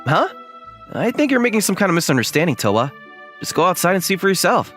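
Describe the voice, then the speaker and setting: A man's voice: high voice, very animated, fast talker, fairly bright tone, soft background music. A man voicing an earnest, considerate, easily flustered young man who also narrates a manga dub. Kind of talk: acted dialogue and first-person narration.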